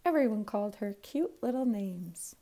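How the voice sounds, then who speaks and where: A woman, a teacher reading a children's storybook aloud in an expressive, clearly phrased way. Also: medium pitch, moderate pace. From Canada.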